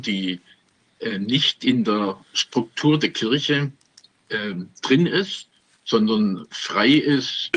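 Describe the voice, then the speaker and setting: Swabian man in his 70s, husky, low, good-humored guest on a video link. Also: fairly bright tone. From Stuttgart, Germany. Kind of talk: relaxed self-introduction with dry jokes. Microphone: video call.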